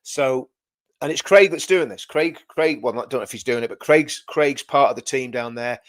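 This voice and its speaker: A man hosting a casual livestream and talking straight to his viewers. He has a loud voice and rambles, repeating words and correcting himself as he goes. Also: mid-range voice, normal speed. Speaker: livestream host.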